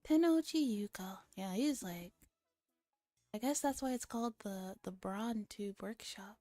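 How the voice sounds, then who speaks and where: A woman streamer with a soft, breathy, often whispering voice, reading a story and chatting casually in a relaxed ASMR style. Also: medium pitch, unhurried, no echo, faint music behind. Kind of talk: ASMR visual novel read-aloud with casual chat.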